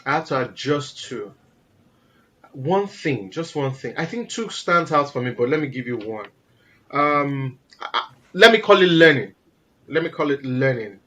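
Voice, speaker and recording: A man from Nigeria with a naturally loud voice, talking as an interview guest in an animated, emphatic way. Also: mid-range voice, normal speed. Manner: animated, emphatic, repeats words for stress. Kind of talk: casual interview conversation.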